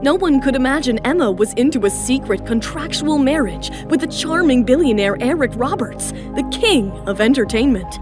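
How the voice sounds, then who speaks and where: A woman's voice: high-pitched, expressive, moderate pace, hardly any pauses. A woman giving dramatic scripted narration for a romantic audio serial. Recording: music bed under the voice.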